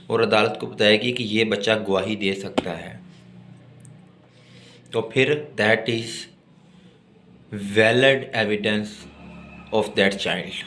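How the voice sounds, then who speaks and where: A man with a Pakistani accent teaching law, reading legal text aloud deliberately and explaining it as a lecturer. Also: on the low side, fairly bright tone, slight background noise.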